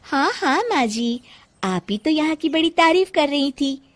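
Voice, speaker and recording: A woman's voice: high voice, lively intonation, a little slow, voice pushed up. A woman voicing a young, polite but teasing daughter-in-law in a storybook tale.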